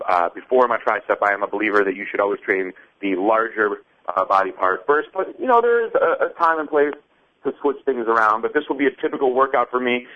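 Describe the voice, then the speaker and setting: A man of thirty, a guest on a radio show, calmly explaining his workout methods step by step. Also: on the low side, dull audio. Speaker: fitness guest. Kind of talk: casual interview answers.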